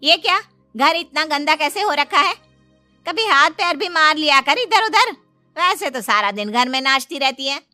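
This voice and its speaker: A woman playing a middle-aged mother-in-law in a dramatized moral story, emphatic and maternal, protective but quick to scold. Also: high-pitched, lively intonation, bright, forceful tone, dry recording, faint music behind.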